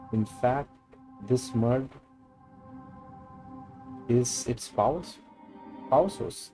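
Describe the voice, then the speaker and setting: A man's voice: mid-range voice, dark tone, low-quality recording. A man with an Indian accent live-streaming a game, switching between English and Hindi as he reads story dialogue aloud and comments.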